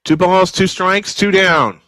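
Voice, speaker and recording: A man's voice: high-pitched, takes it slowly, sharp tone, no echo. Man, old-school play-by-play caller, folksy, dry close studio mic. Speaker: play-by-play announcer. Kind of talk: live baseball play-by-play.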